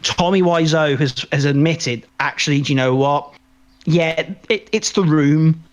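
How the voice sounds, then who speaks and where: A man co-hosting a podcast in casual film review chat, rambling and halting as he repeats words mid-sentence. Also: fairly high pitch, lo-fi sound. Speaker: podcast co-host.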